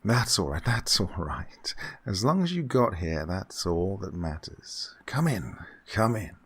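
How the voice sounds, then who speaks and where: An actor playing an unctuous, scheming gentleman employer who feigns kindly absent-mindedness, fussy and repetitive, in an old-time radio horror drama style. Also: low-pitched, sing-song, a little slow, slightly rough, full character voice. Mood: fussily welcoming. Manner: fussy, hesitant, repeats himself, chuckling.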